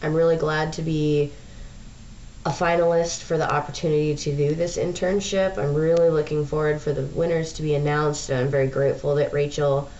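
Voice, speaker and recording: A woman's voice: deep voice, level tone, brisk, darkish tone, far from the mic. A young woman with a stuffed-up, nasal voice from a sinus infection, chatting casually in a video diary with lots of ums. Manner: rambling, chatty, frequent ums. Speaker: touring show crew member vlogging. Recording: dull lo-fi audio from away from the mic, faint noise.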